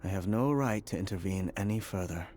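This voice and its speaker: An actor playing a grave, mysterious, weary prisoner in a video game, speaking solemnly and deliberately. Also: male voice, low voice, darkish tone, a little hiss or hum, close to an everyday voice. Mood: solemn, warning. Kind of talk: scripted video game dialogue.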